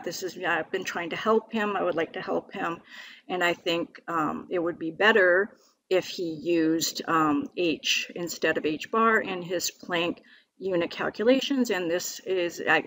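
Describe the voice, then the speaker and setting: A woman's voice: fairly low pitch, fairly bright tone. A woman with a scratchy voice from a cold, explaining physics equations on screen in a hesitant way, with many fillers and restarts. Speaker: independent physics video maker. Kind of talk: walking through equations on screen.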